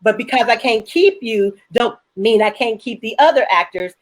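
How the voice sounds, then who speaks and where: A woman's voice: fairly high pitch, moderate pace. A woman talking in a direct, colloquial way in a panel chat. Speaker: stage play writer-director.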